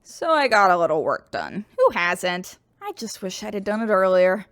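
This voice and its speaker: A woman's voice: medium pitch, sing-song, moderate pace, a bit bright, no room sound, clean studio sound. A woman playing a feisty, boastful woman in her eighties in a sci-fi radio drama. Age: 80s.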